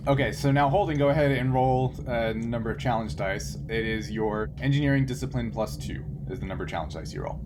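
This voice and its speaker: A man in the game master's seat, calmly walking players through dice and task rules at an ordinary pitch. His mic picks up a clear layer of noise behind his voice. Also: a little fast, hardly any pauses. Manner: explains rules patiently, laughs easily. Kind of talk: running a tabletop game over a call.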